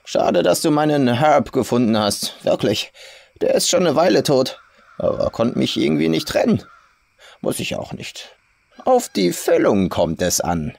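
A man's voice: medium pitch, very animated, unhurried, creaky, a little mellow, no room sound, pitched above the performer's own voice, heavily acted. A man voicing a sinister old farm woman in a horror audiobook, folksy and coolly menacing in clipped sentences. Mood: mock-regretful, menacing. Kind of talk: audiobook horror story character.

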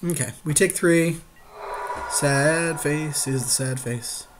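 A man's voice: fairly high pitch, slow, noisy background. A man co-hosting casual gameplay commentary in an agreeable, conversational way. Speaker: podcast co-host playing a card game.

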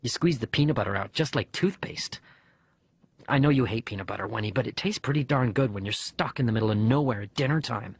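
Husky male audiobook narrator. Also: mid-range voice, brisk, no echo. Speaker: audiobook reader. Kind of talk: scripted first-person story narration in a boy's voice.

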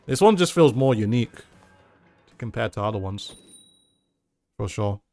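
A man's voice: fairly low pitch, lively intonation, normal speed, a little mellow, soft background music. Chatty English man streaming a game. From England. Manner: chatty, reads and answers chat, often says "dude". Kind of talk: casual live-stream chat while gaming.

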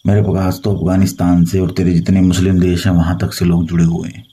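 A man's voice: low voice, level tone, brisk, mellow, slightly rough. A man from India speaking as a tantric guru on a phone call, boasting and reassuring with authority. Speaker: tantric guru advising a caller. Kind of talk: phone consultation, boastful storytelling.

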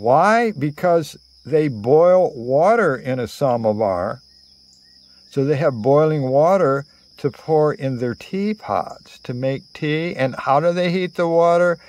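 Older man storytelling slowly, higher-pitched. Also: lively intonation, a little mellow. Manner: theatrical, digressive, halting with frequent pauses. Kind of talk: rambling improvised storytelling to camera. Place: outdoors under cover among greenery. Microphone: phone at arm's length.

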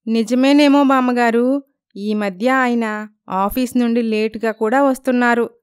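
A woman's voice: on the high side, steady tone, a little slow, clear, resonant tone, dry recording, clean studio sound, lightly acted. A woman voicing an anxious young wife in a cartoon story, polite and plaintive. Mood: worried.

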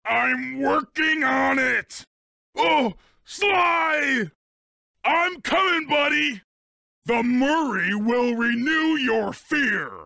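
A man's voice: very high voice, very slow, creaky, sharp tone, no echo, shouting, clean studio sound, full character voice. A man playing a big, dim but loyal cartoon hippo strongman in a video game, boastful and childlike, with bombastic delivery. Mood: alarmed shouting, then heroic boasting. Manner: bombastic, speaks of himself in third person.

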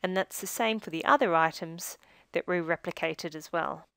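Low-pitched woman narrating a software tutorial. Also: normal speed, smooth, no echo.

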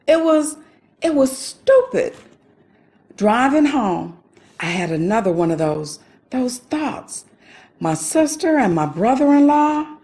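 A woman playing a distressed, guilt-ridden older sister in an audio drama, halting and repeating her words. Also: high voice, a little mellow. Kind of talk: naturalistic audio drama acting.

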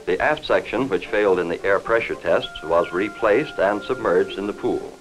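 An American man reading formal, measured, factual scripted narration in the style of a 1950s industrial newsreel, on a vintage film soundtrack. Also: on the low side, faint music behind, rough recording.

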